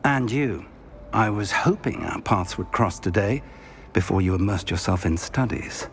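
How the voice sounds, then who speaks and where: A man's voice: lowish voice, expressive, slight background noise. An actor playing an older male professor in a video game, a formal, kindly mentor with a British accent. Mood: instructive. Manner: measured, formal, kindly instructive.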